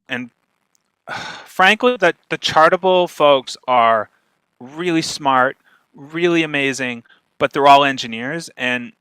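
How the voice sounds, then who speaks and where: A man's voice: medium pitch, sharp tone, clean tone, no room sound, low-quality recording. A man presenting informally online as a guest speaker, explaining in an emphatic, conversational way. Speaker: guest speaker giving a marketing talk.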